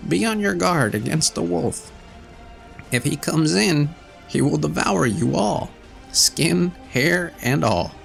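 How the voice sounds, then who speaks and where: Man voicing old mother goat, storybook, gentle warning tone, slow, lively pitch. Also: creaky, soft background music, heavily acted.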